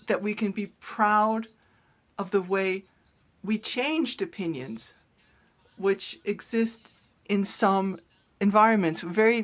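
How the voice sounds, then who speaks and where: A woman giving a live interpretation of a speech, her delivery halting and broken into short phrases. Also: mid-range voice. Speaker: simultaneous interpreter.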